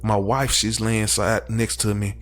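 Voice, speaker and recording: A man's voice: lowish voice, little pitch movement, a little slow, a bit bright. A man with an American accent giving personal testimony as an interview guest, earnest and repeating phrases for emphasis. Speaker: show guest, crash survivor.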